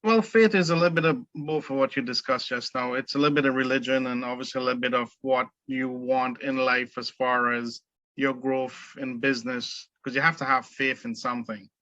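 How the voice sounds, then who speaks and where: A middle-aged man from the Caribbean, a guest in a casual interview, speaking in a measured, earnest way with many fillers and restarts. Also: mid-range voice, steady tone, pushed and bright, dry recording, highs cut off. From Caribbean, born in Trinidad, raised in Barbados. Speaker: guest, entrepreneur.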